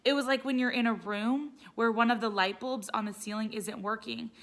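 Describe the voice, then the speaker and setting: Bright-voiced woman reading a children's story. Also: on the high side, fairly even intonation, normal speed. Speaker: teacher reading aloud. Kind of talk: expressive children's read-aloud narration.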